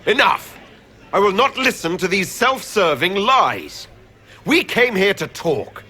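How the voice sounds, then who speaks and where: A man's voice: sits high, big pitch swings, slow, slightly rough, full character voice. A man playing an indignant, commanding warrior in a fantasy video game, speaking out with urgency. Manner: forceful, urgent.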